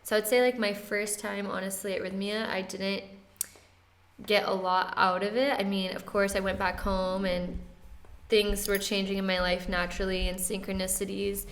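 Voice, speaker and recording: Young woman guest, flat even delivery, soft-spoken and easygoing, casual interview talk. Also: mid-range voice, normal speed. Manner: soft-spoken, relaxed, often laughing lightly, many "like" fillers.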